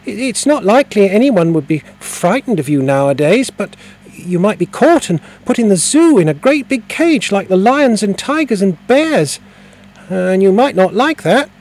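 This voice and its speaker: A man voicing a high, earnest little boy. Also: sing-song, moderate pace, a little mellow, full character voice. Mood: cautious. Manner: hesitant, stammering and earnest. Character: polite, curious young boy.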